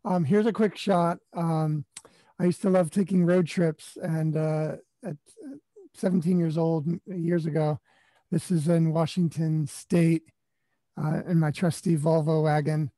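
Dark-voiced enthusiastic middle-aged man. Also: fairly high pitch, level tone, measured pace, no room sound. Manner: enthusiastic, warm about nature. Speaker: workshop participant. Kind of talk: casual sharing of photos. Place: small room at home. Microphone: webcam close up.

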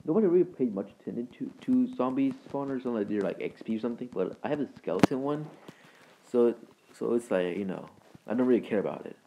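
A man hosting a gaming video with casual, rambling, halting commentary in informal words. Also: medium pitch, lively intonation, heavy background noise. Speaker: gaming video creator and host.

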